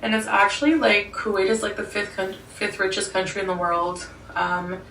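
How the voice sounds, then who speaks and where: A woman's voice: mid-range voice, fairly even intonation, normal speed, a bit bright, reverberant, distant mic, slight background noise, rough recording. A young woman from Ottawa talking casually to camera in a sit-down vlog, rambling a bit with lots of "like" and small laughs. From Ottawa, Canada. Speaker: vlogger.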